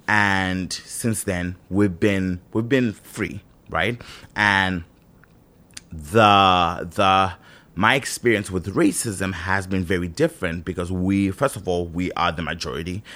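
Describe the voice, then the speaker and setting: Guy from zimbabwe with a really low voice, thinking out loud, lots of "you know" and restarts. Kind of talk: reflective casual monologue.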